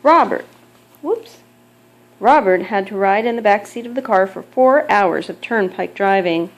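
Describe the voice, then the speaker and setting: Mother reading a picture book: female, mid-pitched voice, measured and expressive storytelling delivery. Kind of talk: children's picture book read-aloud.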